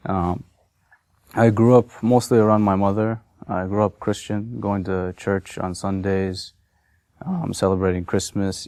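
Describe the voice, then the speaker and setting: A man in his thirties from Massachusetts, telling his personal story in a halting, unscripted way full of fillers. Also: sits low, darkish tone, dry recording. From Massachusetts, USA. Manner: hesitant, many fillers and restarts. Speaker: convert sharing his story.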